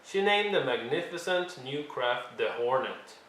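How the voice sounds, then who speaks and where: A man narrating a picture book for young children in an expressive read-along style, with deliberate, dramatic emphasis. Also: mid-range voice. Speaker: storyteller reading a picture book aloud. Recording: roomy echo, faint background noise.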